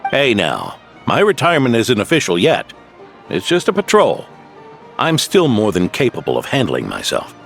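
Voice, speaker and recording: A man voicing an aging, stubborn veteran knight near retirement, genial and gruff, in a game cutscene. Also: mid-range voice, wildly swooping pitch, moderate pace, soft background music. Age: older.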